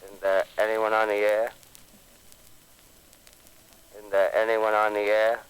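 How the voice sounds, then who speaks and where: Forlorn radio operator. Deep, slow, clean tone, measured repeated calls, vintage radio play. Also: male voice, smooth, poor audio. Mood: desolate, searching. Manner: flat, measured, repetitive calling. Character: desolate lone radio operator calling for anyone. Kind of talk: 1930s radio drama acting.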